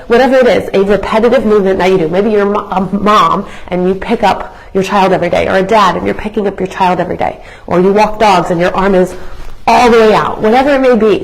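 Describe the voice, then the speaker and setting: A young woman with a raspy voice, hoarse as she recovers from being sick. She leads a live workout class as a fitness instructor, explaining things in a chatty, educational way. She often restarts her sentences and uses fillers as she talks. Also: medium pitch, low-quality recording. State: hoarse from illness.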